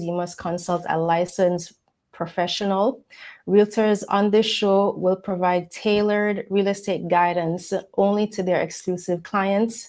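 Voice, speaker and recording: A woman's voice: on the low side, a little mellow. Friendly woman co-host. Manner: friendly, playful, reads disclaimers carefully. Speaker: realtor co-host. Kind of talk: casual real estate chat.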